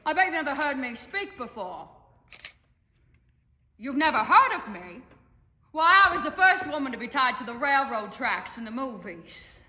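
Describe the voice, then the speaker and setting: A woman's voice: high voice, very animated, normal speed, pushed and bright, heavily acted. A young woman performing a dramatic monologue as a brash, sparkling silent film comedienne, showy and theatrical, with conversational stammers. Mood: indignant, boastful. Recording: echoey hall, distant, noisy room.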